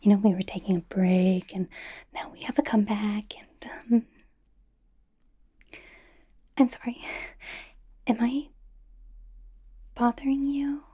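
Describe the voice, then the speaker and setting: A woman playing a bubbly, nervous, supportive young pop idol in a breathy, whispered ASMR roleplay, rambling and reassuring as she goes. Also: medium pitch, a little slow, long pauses, mellow. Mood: apologetic, hesitant. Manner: rambling, giggly, reassuring, hesitant.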